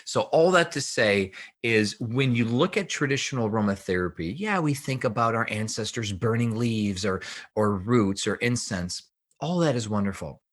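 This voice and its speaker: A man with a fairly low voice talks as an expert guest on a podcast. He explains in an emphatic, teacherly manner, often putting a question and answering it himself. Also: expressive, moderate pace. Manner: emphatic, didactic, asks and answers own questions. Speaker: podcast guest, health researcher and author. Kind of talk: explanatory interview talk.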